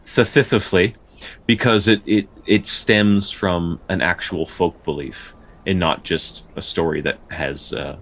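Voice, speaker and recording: Male podcast co-host, very low voice, casual but studious folklore explainer with a halting, word-repeating delivery. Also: steady tone, a bit bright. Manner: halting, with repeated words and stutters. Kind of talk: casual explanatory discussion of folktales.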